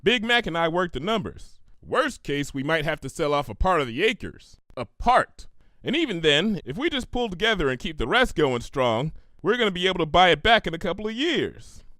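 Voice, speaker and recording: A man's voice: a little high, sing-song, moderate pace, sharp tone, no room sound, clean studio sound, pitched above the performer's own voice. A man voicing a blunt, hard-working female cartoon farm pony with a folksy, drawling way of talking, in a story reading. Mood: defensive, firm. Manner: folksy, plain-spoken, drawling dialect.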